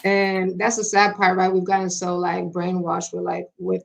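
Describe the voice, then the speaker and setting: Gravelly-voiced woman on a talk panel. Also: on the low side, monotone, normal speed. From Nigeria. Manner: chatty, uses many fillers and asides. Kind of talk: casual panel discussion. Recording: dull lo-fi sound.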